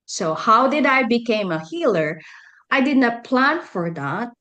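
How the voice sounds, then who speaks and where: A woman's voice: on the high side, sing-song, measured pace, sharp tone. A middle-aged woman with a Filipino accent, speaking as an interview guest and telling her personal story in a conversational way. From Philippines. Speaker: podcast guest, energy healer and life coach.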